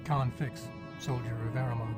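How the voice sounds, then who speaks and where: A grim soldier hungry for revenge acted by a man in a fantasy game. He speaks somberly at a medium pitch, sounding weary of war. Loud music runs behind him. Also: steady tone, moderate pace, close to an everyday voice. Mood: grim, vengeful. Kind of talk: theatrical fantasy game monologue.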